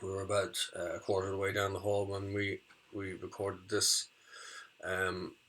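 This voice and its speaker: A man with a deep voice and a bright, forward edge gives an informal demonstration. His delivery is halting and hesitant, as if feeling his way through the explanation. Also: monotone, low-quality recording. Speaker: music student demonstrating audio effects.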